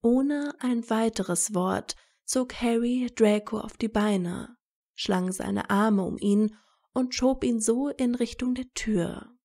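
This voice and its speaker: Slow, mellow woman narrating a story. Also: fairly high pitch. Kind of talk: scripted story narration of a romantic fan fiction.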